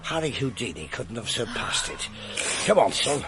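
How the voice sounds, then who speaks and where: An English actor playing a world-weary, gruff middle-aged detective inspector in a radio drama, dry and clipped. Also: male voice, mid-range voice, sing-song, moderate pace, noisy background, natural voice. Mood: grim, businesslike. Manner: dry, clipped, colloquial copper's talk. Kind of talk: BBC radio drama acting.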